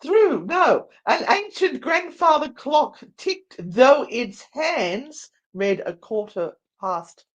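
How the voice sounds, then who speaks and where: A woman narrating a story as a dramatic live read, deliberate and theatrical. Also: sits high, sing-song. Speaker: narrator and co-host.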